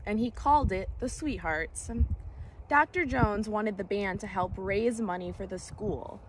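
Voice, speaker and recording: Young woman reading aloud, lively pitch, outdoor noise. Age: young adult. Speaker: storytime host reading a children's book aloud. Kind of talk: read-aloud storytelling for children. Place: outdoors in woods by a creek. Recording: clear outdoor background noise, clicks.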